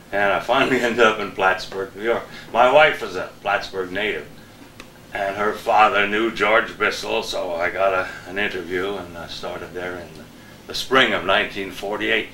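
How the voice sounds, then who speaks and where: Bright, low-pitched older man in echoey room. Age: older, about 70s. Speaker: interview guest, radio veteran. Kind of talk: casual reminiscing chat. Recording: echoey room, distant mic, faint noise.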